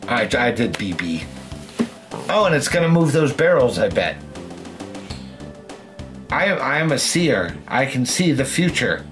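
A man's voice: medium pitch, sing-song, a little slow, pauses often, fairly bright tone. A man playing a video game as a show host, chatting casually and playfully, with exclamations and casual swearing. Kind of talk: casual let's-play chat. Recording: game music behind. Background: video game music.

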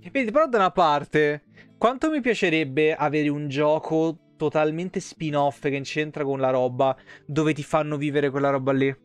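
A man in his thirties with a slightly high voice streams a game. He reads the lore aloud and mixes in casual, irreverent commentary. Soft music plays behind him. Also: normal speed, clear, resonant tone, dry recording. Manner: irreverent, foul-mouthed asides. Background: background music.

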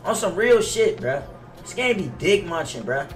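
A man's voice: high-pitched, big pitch swings, moderate pace, echoey room, far from the mic, faint music behind. A young American man in his twenties chatting casually on a gaming livestream, profane, slangy and repetitive in his griping. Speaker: game streamer. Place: indoor home gaming room. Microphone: headset mic.

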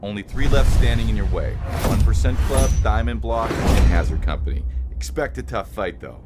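A man's voice: fairly low pitch, lively intonation, moderate pace, loud music behind the voice, lightly acted. A man playing a sly, confident gambler plotting revenge in a video game cutscene. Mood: briefing. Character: shrewd male gambler ally plotting revenge. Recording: loud engine noise over voice.

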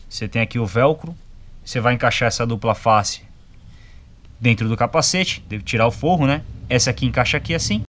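A man's voice: mid-range voice, fairly bright tone. A young adult man with a Brazilian accent, giving a casual, colloquial, step-by-step product demonstration and checking in with short tags. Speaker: product reviewer. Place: motorcycle gear shop, indoors.

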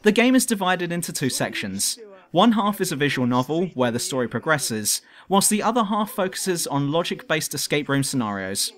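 A man's voice: fairly high pitch, sing-song, moderate pace, a bit bright. A man reading scripted review narration as a video reviewer.

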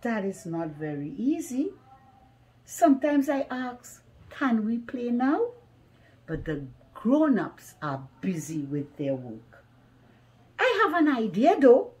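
A middle-aged woman reading a children's story aloud in a deliberate, expressive way, slightly muffled by a face mask. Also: on the high side. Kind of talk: children's picture book read-aloud. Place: small living room at home, indoors.

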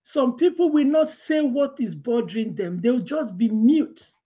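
A middle-aged woman giving a devotional sermon from a small room at home. She tells her stories with lively hand gestures and laughs along the way. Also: on the high side, a little slow, husky, muffled recording. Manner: animated storytelling with hand gestures, laughs through her anecdotes. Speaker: preacher.

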